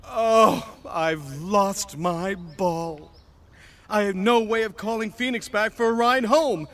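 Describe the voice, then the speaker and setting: A man playing an excitable alien disguised as a little dog, theatrical and exclamatory, in a sci-fi audio drama. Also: sits high, slow pace, heavily acted. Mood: despairing. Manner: theatrical, exclamatory, arguing with himself.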